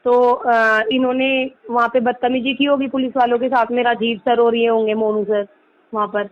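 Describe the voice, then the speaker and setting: Woman lawyer, higher voice, insistent explainer on a phone call. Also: little pitch movement, normal speed. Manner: insistent, explanatory, peppers Hindi with English legal terms. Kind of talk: persuasive phone conversation. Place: recorded phone or video call. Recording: muffled lo-fi recorded call.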